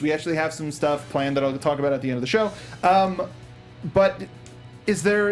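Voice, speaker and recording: A man hosting a casual panel review show in an enthusiastic way. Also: fairly high pitch. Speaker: host of a movie review show. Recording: music playing under the talk. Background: music.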